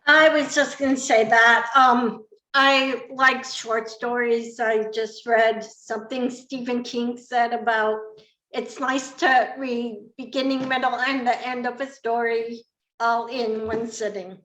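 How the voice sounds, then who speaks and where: A woman's voice: fairly high pitch, flat delivery, slow pace, fairly bright tone. A woman chatting casually as a podcast guest from a very echoey room. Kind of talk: casual chat.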